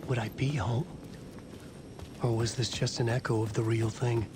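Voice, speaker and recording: An actor playing a troubled, lost writer, narrating a horror game in short, pensive phrases and questioning himself. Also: male voice, medium pitch, little pitch movement, moderate pace, noisy background, close to an everyday voice. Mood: searching, weary. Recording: loud eerie music underneath.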